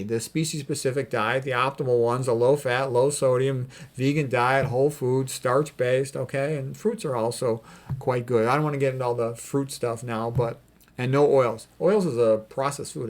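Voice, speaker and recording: A man's voice: medium pitch, fairly even intonation, moderate pace. A man talks off the cuff as a doctor advising on health. He is blunt and opinionated, and he drives his points home by repeating key phrases. His commentary is informal and emphatic. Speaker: doctor giving health advice. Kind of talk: informal commentary on read quotes.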